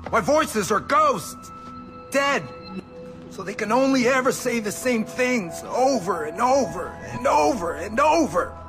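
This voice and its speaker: An actor playing an unhinged, bitter veteran agent haunted by voices, mocking, manic and profane, in a video game cutscene. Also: male voice, very high-pitched, expressive, unhurried, heavily acted. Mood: manic, ranting. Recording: music behind.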